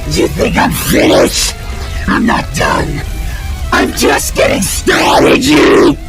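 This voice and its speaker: A man playing a menacing flaming supervillain in an audio drama, taunting in a slow, theatrical growl. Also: shouting, loud music behind the voice, lightly acted. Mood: threatening, enraged. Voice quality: very deep gravelly growl.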